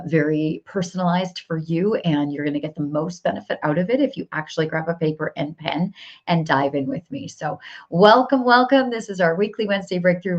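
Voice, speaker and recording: A woman business coach hosting a live coaching stream, her voice hoarse from cheering, upbeat and repeating phrases as she talks straight to viewers. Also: sits low. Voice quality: gravelly. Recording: dull lo-fi livestream audio.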